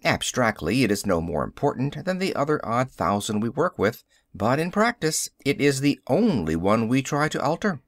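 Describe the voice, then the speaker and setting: A confident male scientist lays out his theory with a lecturing, explanatory cadence, as a male audiobook narrator reads him. His pitch moves in a lively way. The sound is dry and close. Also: moderate pace, hardly any pauses, no echo. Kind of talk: audiobook character reading.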